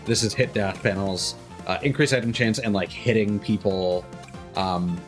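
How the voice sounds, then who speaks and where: Low-pitched man rambling casually over game music. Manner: rambling, self-interrupting, frequent stops and restarts. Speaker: live streamer. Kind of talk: casual video game stream commentary.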